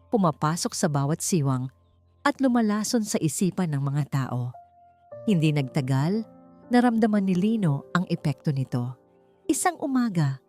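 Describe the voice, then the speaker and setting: A woman's voice: on the low side, a little mellow. A woman with a Filipino accent narrating a dramatic scripted story. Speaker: audiobook narrator.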